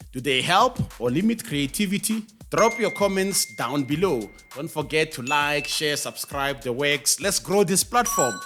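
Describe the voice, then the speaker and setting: Animated South African male presenter, music behind. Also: mid-range voice. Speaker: host of an educational show on radio broadcasting. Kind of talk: scripted explainer narration with conversational asides.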